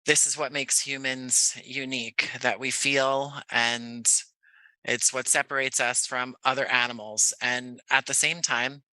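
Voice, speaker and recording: An American man from Jersey City speaking as a podcast guest, thoughtful and measured, with halting phrasing in a reflective conversation. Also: medium pitch, fairly even intonation, sharp tone, clean tone, dry recording, rough recording. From Jersey City, United States. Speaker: podcast guest, audio playwright.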